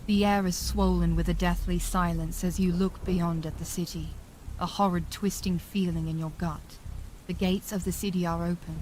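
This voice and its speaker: A woman's voice: lowish voice, a little mellow, slight background noise, rough recording. A woman narrating a scripted fantasy audio adventure in a grave, dramatic storytelling manner.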